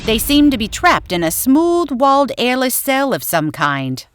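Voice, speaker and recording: A woman's voice: high-pitched, big pitch swings, measured pace, clean tone. A woman giving dramatic scripted horror narration in an old-time radio style, theatrically savoring the descriptive words.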